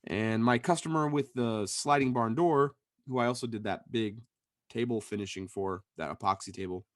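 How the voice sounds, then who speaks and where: A man co-hosting a podcast in casual chat, hoarse and losing his voice, calling in remotely and rambling with restarts. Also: medium pitch, moderate pace, dry recording, studio quality. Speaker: podcast co-host. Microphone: remote call connection.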